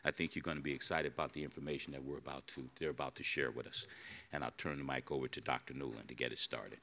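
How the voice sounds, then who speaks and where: Deep-voiced moderator at a podium. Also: male voice, fairly even intonation, sharp tone. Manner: formal, with filler pauses. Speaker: panel moderator. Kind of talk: introducing a panel. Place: conference hall stage, at a podium. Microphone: podium microphone.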